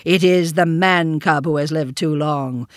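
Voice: mid-range voice, animated, no room sound, studio quality. A storybook reader voicing a menacing, bullying male tiger who roars his words. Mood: roaring. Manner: loud, roaring, drawn-out.

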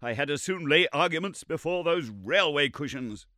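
A man voicing an irritable, sarcastic elderly male professor who scolds in a shrill, indignant voice. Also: expressive, moderate pace, bright, forceful tone, no room sound, studio quality. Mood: furious.

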